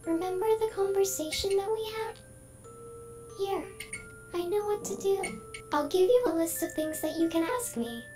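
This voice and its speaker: A woman's voice: extremely high pitch, animated, moderate pace. A synthetic-sounding female voice playing a lonely AI trapped inside a computer in a game, polite, earnest and oddly emotional. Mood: anxious. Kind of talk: game character dialogue. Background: game music.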